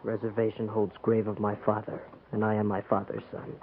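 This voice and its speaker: An actor playing a proud, stern chief in a 1950s radio western, speaking in terse, formal, stilted phrases. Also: male voice, lowish voice, completely flat delivery, fairly quick, lightly acted. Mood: solemn, proud. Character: proud, solemn Native chief, stern but dignified. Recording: muffled, noisy 1950s radio recording.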